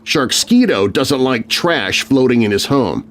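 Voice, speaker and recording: A man's voice: mid-range voice, animated, a little slow, slight background noise, pitched above the performer's own voice, close to an everyday voice. A man voicing a stern, earnest eldest teenage brother who warns ominously in a children's spooky story. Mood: warning. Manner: stern, hauntingly warning.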